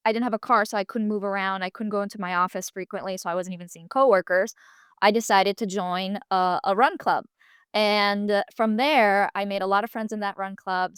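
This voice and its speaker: A woman's voice: medium pitch, a bit bright, clear, resonant tone, no room sound, clean studio sound. Chatty young woman with an American accent. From Italy, raised in Spain. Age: 20s. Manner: chatty, storytelling with quoted asides. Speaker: podcast guest, marathon runner. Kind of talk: casual interview chat.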